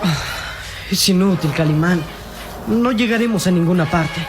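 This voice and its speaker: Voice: mid-range voice, normal speed, loud music behind the voice, close to an everyday voice. An actor playing a small, weary young boy companion in a radio drama, speaking plaintively. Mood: weary, discouraged.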